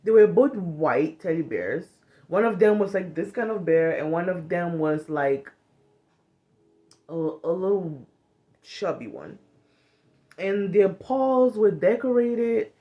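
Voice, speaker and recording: A woman in her thirties from Cameroon, talking frankly to a phone camera in a small bedroom, animated and swearing freely as she speaks about healing from trauma. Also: lowish voice, slow pace, pauses often, muffled recording. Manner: frank and animated, swearing freely, acts out little quoted lines. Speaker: vlogger. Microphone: phone camera at arm's length.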